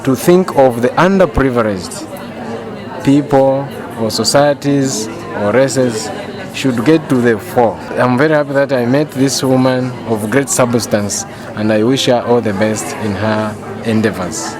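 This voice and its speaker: A man's voice: medium pitch, a little slow. Zambian man with murmuring crowd behind. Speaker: museum professional giving a testimonial. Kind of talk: spoken testimonial with emphatic repetition.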